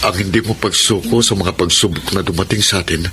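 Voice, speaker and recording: Earnest prisoner guy, lowish voice, radio drama, slight noise. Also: a little fast. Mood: grateful, earnest. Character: earnest, grateful male prisoner photographer. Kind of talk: Filipino radio drama acting.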